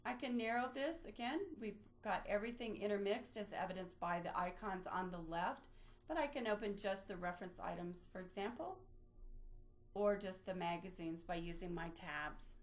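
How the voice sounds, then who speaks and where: A woman's voice: mid-range voice, moderate pace. Woman guiding an online tutorial. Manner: explanatory, guiding step by step. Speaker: webinar presenter, library trainer. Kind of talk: instructional screen-share walkthrough, semi-scripted.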